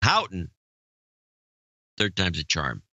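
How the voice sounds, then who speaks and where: A man's voice: deep voice, wildly swooping pitch, bright, forceful tone, dry recording, studio quality. A man co-hosting a podcast, reading a long list of donor names and joking in between, stumbling over how to say them. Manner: reads names haltingly, stumbles over pronunciations and jokes in between. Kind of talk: casual chat.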